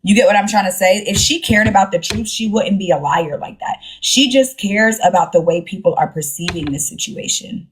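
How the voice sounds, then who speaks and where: A woman's voice: medium pitch, moderate pace, hardly any pauses, a little hiss or hum, low-quality recording. A woman hosting a casual live stream, talking in a blunt, sassy, slangy way with plenty of swearing. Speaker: livestream host.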